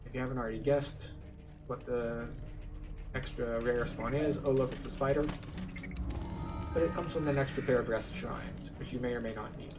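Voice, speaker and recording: A man's voice: mid-range voice, fairly even intonation, moderate pace, long pauses, far from the mic, music in the background. A man giving a casual, explanatory commentary as he guides viewers through a video game. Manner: explanatory, conversational.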